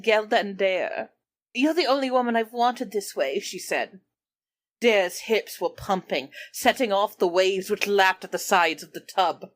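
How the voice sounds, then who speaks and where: A woman's voice: medium pitch, unhurried. A woman in an improvised comedy chat, reading passages aloud between bits of banter. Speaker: book club podcast performer reading aloud.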